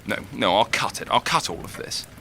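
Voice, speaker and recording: A tormented young writer in an audio drama, self-doubting and lovesick. His voice is sharp and forceful, raw with feeling, with slight noise behind it. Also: fairly low pitch, expressive, fairly quick, vocal fry, exaggerated voice. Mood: bitter self-loathing. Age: young adult. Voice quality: intense, emotional delivery.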